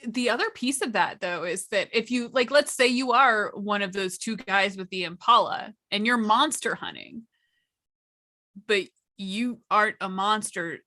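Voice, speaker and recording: Bright clear-cut female voice, panelist chatting off the cuff, keen and explaining stuff, normal pitch. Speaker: workshop panelist. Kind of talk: casual, unscripted panel discussion.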